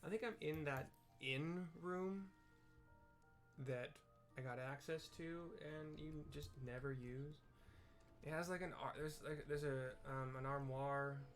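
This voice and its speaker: A man's voice: a little high, steady tone, takes it slowly, faint music behind. A man with an American accent casually narrating a video game playthrough, describing scenes in a hesitant, rambling way. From US Pacific Northwest.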